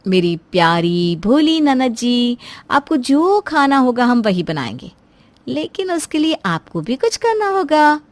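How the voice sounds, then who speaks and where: Crafty young in-law for a moral story, acted by a woman: high, falsely sugary, easily irritated. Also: big pitch swings, a little slow, no room sound. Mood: mock sweet. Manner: cunning, mock-sweet, sharp.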